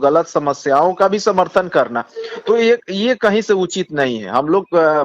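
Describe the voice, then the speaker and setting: A man with a Bihari accent speaking as a politician in a phone interview, insistent, repeating himself and halting as he argues. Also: fairly high pitch. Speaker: communist party politician. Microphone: phone call. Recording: dull lo-fi phone call recording.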